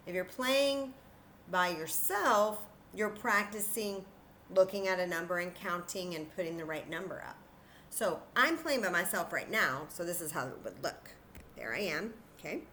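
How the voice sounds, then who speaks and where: A woman's voice: fairly low pitch, animated, moderate pace, bright, forceful tone, a little hiss or hum. A woman teaching young children in an interactive demonstration, patient and encouraging, thinking aloud as she goes. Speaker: children's story time teacher.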